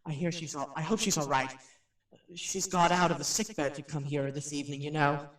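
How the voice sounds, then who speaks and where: A man's voice: fairly high pitch, fairly even intonation, fairly quick, fairly bright tone, lightly acted. An actor playing a nervous, chatty radio personality who rambles and stammers his way through a haunted house in a radio drama. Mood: nervous chatter. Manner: jittery, stammering, rambling, self-interrupting. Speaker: radio host. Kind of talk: radio drama acting, rambling live monologue. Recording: roomy, distant microphone.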